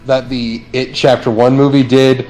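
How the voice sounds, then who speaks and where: A man playing a relaxed superhero who trades dry quips in an improvised, in-character chat, with plenty of drawn-out fillers. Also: medium pitch, close to an everyday voice, poor audio. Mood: easygoing banter. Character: laid-back male superhero veteran, wisecracking.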